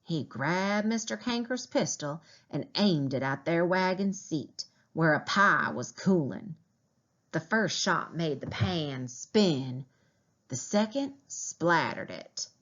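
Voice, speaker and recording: A woman's voice: fairly low pitch, expressive, slow pace, fairly bright tone, muffled recording. A woman reading a children's diary novel aloud as a teacher would, in storybook narration.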